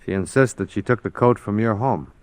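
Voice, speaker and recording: A man playing a hardboiled investigator in a vintage radio crime drama. His voice is low, with terse, procedural phrasing and a matter-of-fact noir delivery. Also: a little fast, darkish tone, no echo, natural voice. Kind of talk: mid-century radio noir narration and acting.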